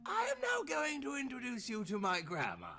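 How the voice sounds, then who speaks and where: Storybook devil, played by a man: short, grinning trickster, theatrical mock courtesy, hugely animated pitch. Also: gravelly, slight background noise, full character voice. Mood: mock-offended, jovial. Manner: theatrical, drawn-out, mock-polite.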